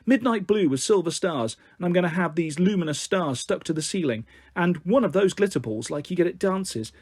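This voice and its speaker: An earnest young girl in a storybook as a man voices her, higher in pitch with a husky edge. She comes across eager, anxious and defensive. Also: lively intonation, brisk, a little mellow, dry recording, studio quality. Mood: eager, faltering. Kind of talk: audiobook character dialogue.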